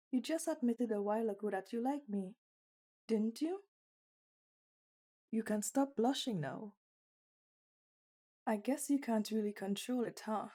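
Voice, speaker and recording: A woman's voice: a little high, mellow. A woman voicing a young bunny girl in an ASMR roleplay, teasing, candid and naively curious in an intimate one-sided conversation. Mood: teasing, tender. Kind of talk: ASMR roleplay audio drama.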